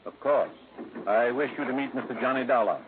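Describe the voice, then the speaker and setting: A man's voice: mid-range voice, a little fast, background noise, lightly acted. Man doing stuffy fussy manager, super formal and proper, 1950s radio drama, tinny old recording. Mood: formal, courteous. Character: prim, stuffy old-fashioned male furniture company manager, easily scandalized.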